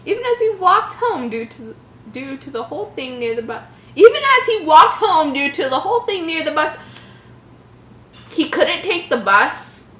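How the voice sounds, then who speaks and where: A woman's voice: high voice, sing-song, moderate pace, sharp tone. A woman reading a horror story aloud and breaking in with sarcastic, exasperated commentary. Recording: roomy echo, faint background noise.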